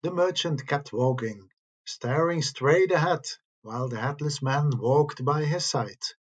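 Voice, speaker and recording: Husky, slow male storyteller. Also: a little high. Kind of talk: slow scripted ghost story narration.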